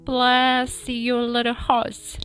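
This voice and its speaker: Woman putting on a whimpering, drawn-out storybook fox. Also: fairly high pitch, completely flat delivery, fairly bright tone, pitched above the performer's own voice. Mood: pleading, pained. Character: pitiful, wheedling male fox with a toothache. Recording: background music behind the voice.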